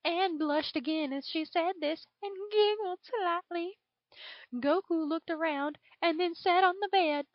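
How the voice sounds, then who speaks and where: A woman's voice: extremely high pitch, a little slow, dry recording, dull audio. A woman voicing a drawling southern dragon. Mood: dramatic. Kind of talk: reading fan fiction aloud.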